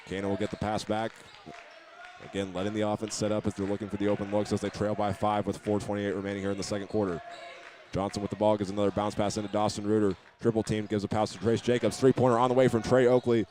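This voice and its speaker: A man's voice: lowish voice, little pitch movement, fast, darkish tone, slight background noise. An American man giving live basketball radio commentary as a play-by-play announcer, narrating each move steadily and methodically. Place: basketball game in a gymnasium.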